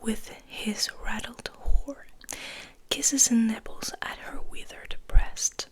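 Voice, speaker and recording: A woman whispering in a breathy voice as she reads poetry for ASMR, in slow, measured phrases with pauses. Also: on the high side, steady tone. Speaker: ASMR host reading poetry.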